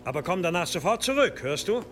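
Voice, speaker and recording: An actor playing a strict, easily scandalized abbot in an audio drama, formal and admonishing. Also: male voice, sits high, sing-song, quick pace, fairly bright tone, faint music behind. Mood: indignant, resigned.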